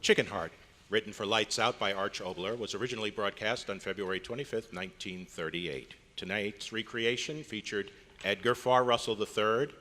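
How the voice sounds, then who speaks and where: Male director-announcer: formal radio-style credit reading, live convention room, long reverb, clear background noise. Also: fairly low pitch, normal speed, bright, forceful tone. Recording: reverberant hotel hall, noisy audience.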